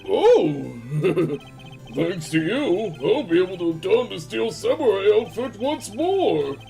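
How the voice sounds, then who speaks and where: A woman acts out a sweet, timid young man in a game fandub. The delivery is slow and hesitant with a creaky edge and lively pitch, over clear music. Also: full character voice, rough recording. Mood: shy, grateful. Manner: hesitant, earnest. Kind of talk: video game fandub voice acting.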